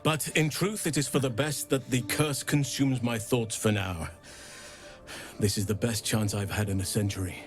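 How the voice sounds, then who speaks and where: A male actor playing a solemn, centuries-old companion in a fantasy video game, speaking in a measured, earnest, formal way. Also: mid-range voice, steady tone, soft background music, natural voice. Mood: earnest, resolved.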